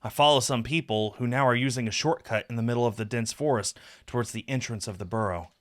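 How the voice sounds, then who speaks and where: A man's voice: mid-range voice, fast talker. A man in his thirties, a game streamer, reading a visual novel aloud in a dramatic narrating style. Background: game music with guitar.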